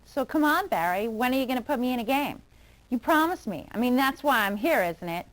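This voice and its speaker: A woman's voice: on the high side, expressive, a little fast, a bit bright, low-quality recording. A woman acting a naive, pestering young woman in a comedy skit, whiny and insistent. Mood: pleading.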